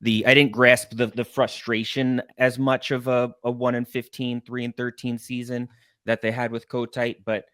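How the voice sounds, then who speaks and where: A man in his thirties hosting a casual sports interview, speaking haltingly and often repeating words as he goes. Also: mid-range voice, little pitch movement, fairly bright tone, no echo, studio quality. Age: 30s. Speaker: podcast host, interviewer.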